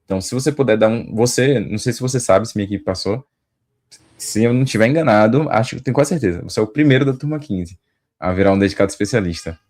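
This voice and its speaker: Low-voiced man hosting. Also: fast talker, darkish tone. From Salvador, Brazil. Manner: affirming, keeps saying "total". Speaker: host and career mentor. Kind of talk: casual interview.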